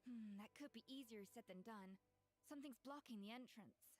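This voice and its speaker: A woman's voice: a little high, moderate pace, a little mellow, lightly acted. A woman playing a confident, practical young adventuring chef in an anime video game, speaking in a thoughtful, assured way.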